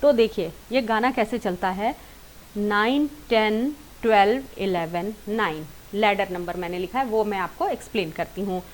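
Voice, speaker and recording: A woman's voice: mid-range voice, normal speed, few pauses, rough recording. A woman giving an informal singing lesson, explaining step by step with repeated, rephrased points and English words mixed in. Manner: mixes English words into talk. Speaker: singing teacher. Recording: clear background hiss and noise.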